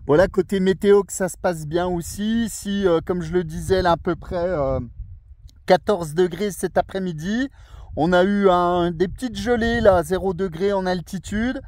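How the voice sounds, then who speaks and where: Male radio hobbyist, French accent, notably high voice, courteous and chatty. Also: normal speed, darkish tone, no room sound. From France. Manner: friendly, courteous. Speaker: amateur radio operator. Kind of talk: casual radio contact chat. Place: outdoors on a small mountain summit.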